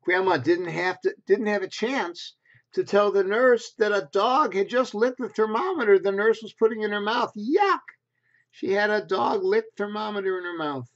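Man in his sixties, slightly high voice, expressive children's storytelling, simple phrasing, dull webcam sound. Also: normal speed. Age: 60s. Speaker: storyteller reading a picture book to preschoolers. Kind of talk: read-aloud children's storytelling with asides. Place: small bedroom at home, indoors. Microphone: computer webcam at desk distance.

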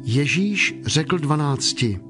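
A man's voice: on the high side, expressive. A man narrating scripture from a script as part of a dramatized reading. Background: faint background music.

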